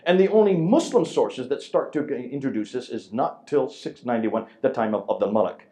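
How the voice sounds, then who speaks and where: A man giving an emphatic lecture to a church audience, with a very loud voice, firing rhetorical questions at his listeners. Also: medium pitch, a little fast.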